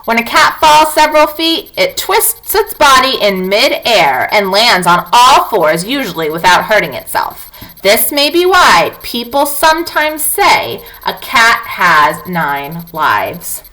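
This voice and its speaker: Woman, primary school teacher, read-aloud lesson, lively intonation, somewhat high pitch, speaks directly to children. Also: low-quality recording. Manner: addresses children directly, with questions and prompts to pause.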